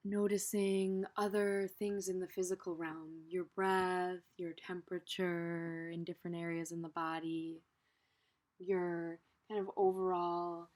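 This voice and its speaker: A woman with a slightly low voice guides a meditation off the cuff. She is gentle and takes her time, leaving pauses and letting the ends of her phrases soften. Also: level tone. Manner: gentle, unhurried, with pauses and soft trailing phrase endings.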